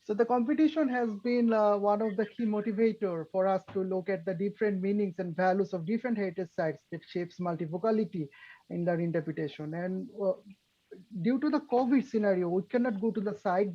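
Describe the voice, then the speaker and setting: A woman's voice: medium pitch, lo-fi sound. A woman speaking formally on an online panel in wordy, academic phrasing. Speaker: webinar panelist.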